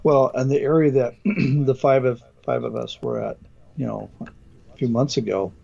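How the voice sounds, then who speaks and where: A man's voice: mid-range voice, steady tone, normal speed, vocal fry, dark tone, husky. A man hosting a podcast, asking questions and chiming in while he is losing his voice. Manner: conversational, asks questions, interjects often. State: losing his voice, clearing throat. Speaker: podcast host. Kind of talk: casual podcast chat. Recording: dull lo-fi sound.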